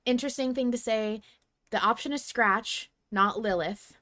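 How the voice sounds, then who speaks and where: A woman's voice: a little high, normal speed, bright, forceful tone, dry recording, clean studio sound. A woman hosting a podcast, talking casually in an emphatic, analytical way. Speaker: podcast host. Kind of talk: casual discussion on a fan podcast.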